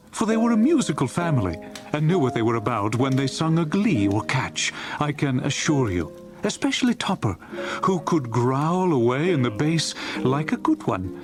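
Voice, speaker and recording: A man playing a genial storyteller of a Victorian Christmas tale, narrating with a jovial, relishing, expressive manner. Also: medium pitch. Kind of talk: dramatized audiobook narration.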